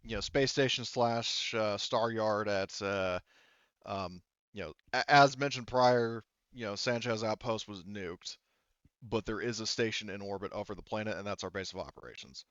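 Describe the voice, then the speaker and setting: A man acts out a woman who runs a space station in a tabletop sci-fi game. The delivery is matter-of-fact and halting, in a smooth, low voice with a dry, slightly muffled sound. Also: fairly bright tone, no room sound, lightly acted. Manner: halting, explanatory.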